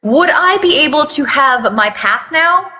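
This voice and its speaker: A woman's voice: high voice, lively intonation, a little slow, bright, forceful tone, voice pushed up, full character voice. A woman voicing a cocky, impatient teenage schoolboy, sarcastic and insolent, in a story reading. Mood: fake politeness. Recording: echoey reverberant room.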